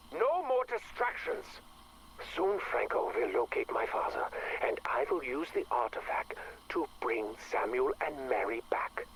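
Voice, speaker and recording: A bitter male scientist from a game audio log, sardonic and theatrical. His fairly high voice swings dramatically in pitch. Clear noise sits on the recording. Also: a bit bright, exaggerated voice, low-quality recording. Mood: angry.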